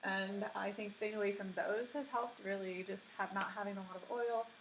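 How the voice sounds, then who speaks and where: A woman doctor answering questions as a guest in a casual live stream, speaking in a calm, measured, matter-of-fact way. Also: mid-range voice, a little hiss or hum, rough recording.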